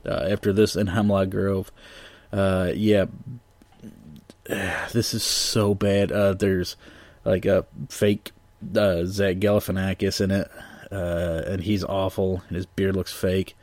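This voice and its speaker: Sniffly low-voiced man ranting casually. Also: flat delivery, slow, a little mellow, dry recording. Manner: profane, blunt, lots of ums and uhs. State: sniffly and nasal from allergies. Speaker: podcast host reviewing movies. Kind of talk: casual rambling review.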